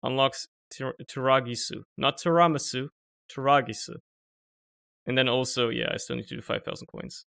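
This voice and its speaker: A man's voice: mid-range voice, fairly even intonation, normal speed, creaky, pushed and bright. A young man with German as his first language, streaming a video game and rambling through casual, joking commentary that swears often, recorded at home on a desk microphone.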